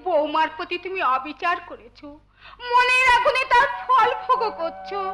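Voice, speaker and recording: A woman's voice: extremely high pitch, lively intonation, normal speed. A woman playing an anguished, righteous middle-aged stepmother in melodramatic folk theatre, plaintive and declamatory. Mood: tearful. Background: music.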